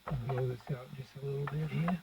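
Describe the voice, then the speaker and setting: A man's voice: mid-range voice, fairly even intonation, fast, gravelly. A man giving casual, unscripted commentary as he demonstrates a hands-on repair, murmuring through each step as he works. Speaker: host of a radio restoration channel. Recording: dull lo-fi audio with loud noise, clicks.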